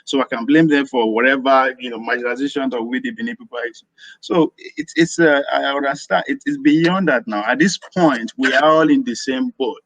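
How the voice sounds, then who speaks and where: A man's voice: medium pitch, brisk, fairly bright tone, dry recording, rough recording. Rambling Nigerian man arguing a point. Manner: rambling, repeats himself while arguing. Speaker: caller. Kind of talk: live audio room discussion.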